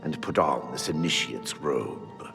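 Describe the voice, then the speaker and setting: An actor playing a stern warden of a dark cult's shrine in a video game, formal, commanding and ceremonious. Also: male voice, rumbling low, expressive, measured pace, gravelly, full character voice. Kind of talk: video game character acting. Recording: long hall reverb, faint music behind.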